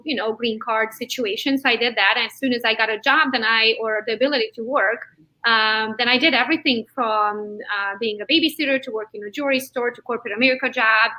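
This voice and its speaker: A woman around forty, from Transylvania in Romania with Hungarian as her first language, telling her story as a real estate agent guest in a lively way with frequent laughs. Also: fairly high pitch, fairly quick, low-quality recording. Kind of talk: interview guest chat.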